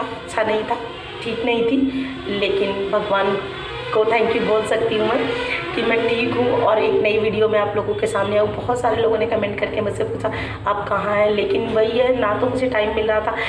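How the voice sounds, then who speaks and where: A young woman vlogger, her voice worn by a sore throat, talking softly and haltingly to her viewers from home. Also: medium pitch. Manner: soft, halting, reflective; frequent restarts with "matlab". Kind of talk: personal vlog talking to viewers. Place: room at home. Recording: echoey room, mic at a distance, faint hum.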